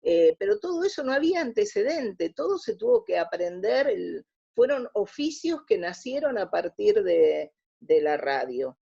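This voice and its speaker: A woman's voice: mid-range voice, sing-song, normal speed, creaky, mellow, breathy edge, dull audio. An Argentine woman answering as an interview guest in a conversational, explanatory way, often rephrasing mid-sentence. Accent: Argentine Spanish. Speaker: interview guest, radio drama researcher.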